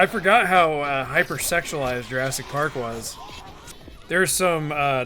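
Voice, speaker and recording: A man's voice: a little high, sharp tone. A young man giving casual, sarcastic gameplay commentary as a voice-over, swearing often and sounding fed up. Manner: profane, sarcastic and exasperated. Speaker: gaming video host.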